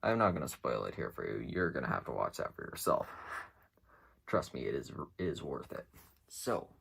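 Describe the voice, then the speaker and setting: A man giving casual, unscripted commentary as a video host, rambling along with halting pauses. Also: a bass voice, big pitch swings, vocal fry, fairly bright tone, husky. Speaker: host of an internet mystery series.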